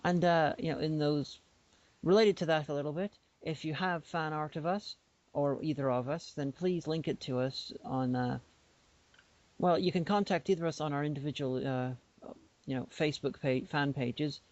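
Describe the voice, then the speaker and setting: A woman's voice: low voice, normal speed, a little mellow, no room sound. Playful rambling woman podcaster. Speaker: podcast co-host. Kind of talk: casual podcast chat. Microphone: remote call microphone. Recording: dull lo-fi online call audio.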